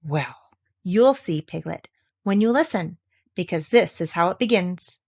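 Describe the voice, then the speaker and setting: A good-natured male toy bear of very little brain, done by a woman reading a storybook. He talks slowly and earnestly, with a lively, swinging melody. He sounds simple and a bit muddled. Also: lowish voice, breathy edge, heavily acted. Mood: cheerful, explaining.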